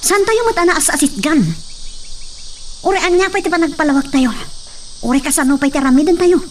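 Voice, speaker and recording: A young heroine played by a woman speaks in a very high voice, protesting with anguish and defiance. The acting is melodramatic radio drama, a bit away from the mic with faint insect noise. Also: fairly quick, lightly acted. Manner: emotional, protesting. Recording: distant voice, faint background noise.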